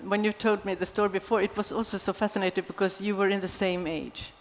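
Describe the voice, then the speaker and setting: A woman's voice: medium pitch, fairly even intonation, fast talker, a little hiss or hum. Woman moderating in a reverberant hall. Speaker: panel moderator. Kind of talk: moderating a conference discussion. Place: large conference hall stage.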